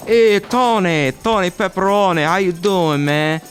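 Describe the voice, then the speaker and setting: An actor playing a familiar, deferential pizzeria underling to a mobster in a comedic audio drama. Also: male voice, high voice, unhurried, soft background music. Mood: friendly, reassuring. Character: loyal, eager male pizzeria underling to a mobster.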